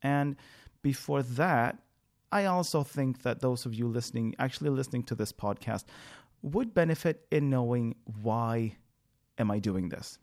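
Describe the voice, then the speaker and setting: A man's voice: mid-range voice, normal speed, a little mellow. Swedish man hosting a podcast. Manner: thinking aloud, frequent fillers and restarts. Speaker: podcast host. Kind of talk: solo podcast monologue.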